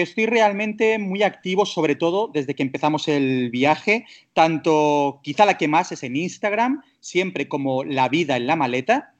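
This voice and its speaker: A man with an accent from Spain speaks in a voice a little higher than most men's. He is a guest in a casual interview and often hesitates, circling back to rephrase what he says. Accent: Spanish from Spain. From Barcelona area, Spain. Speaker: podcast guest.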